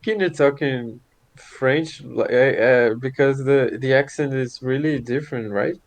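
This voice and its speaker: A man's voice: medium pitch, unhurried, creaky. A man in a casual chat, speaking hesitantly with short acknowledgements and questions.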